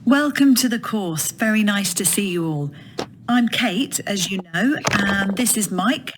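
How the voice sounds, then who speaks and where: A woman's voice: medium pitch, animated, a little slow, background noise. A woman playing a teacher in a scripted audio dialogue, welcoming students to a course.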